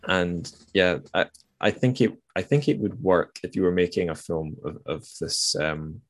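A man's voice: sits low, lively intonation, a little slow. A man hosting a podcast in a casual, conversational style, informally thinking aloud as he goes. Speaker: podcast host.

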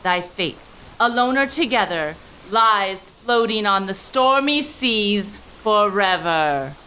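A woman's voice: mid-range voice, animated, slow pace, bright, forceful tone, slight background noise, rough recording. A woman playing a commanding, menacing sea demon witch, declaiming verse theatrically in a gothic audio drama.

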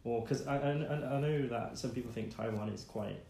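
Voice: mid-range voice, brisk, vocal fry, mellow. An interviewer asking loose, casual questions and laughing often. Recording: echoey room with faint noise.

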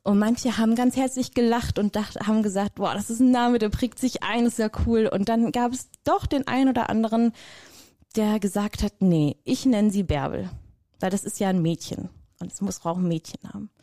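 A woman's voice: medium pitch, fairly even intonation, normal speed, dark tone, no room sound, studio quality. A woman from Germany, a guest in an interview, talking casually and emphatically, often repeating words for stress. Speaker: guest, personnel developer.

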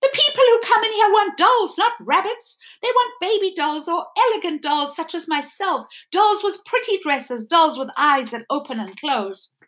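A vain little china doll with a squeaky, very high voice, as a woman reading aloud puts her on. She talks down to others in a haughty, lively, snooty way. It is a storybook figure with a sharp, prim temper. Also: normal speed, few pauses.

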